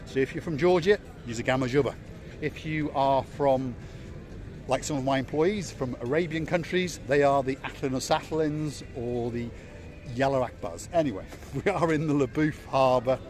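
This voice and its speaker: A man's voice: medium pitch, normal speed, a little mellow, a little hiss or hum. A middle-aged man from Wales giving a chatty, jokey walking tour of a harbour at night, repeating words for emphasis. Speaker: vlog host. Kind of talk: casual walking tour commentary. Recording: music playing behind.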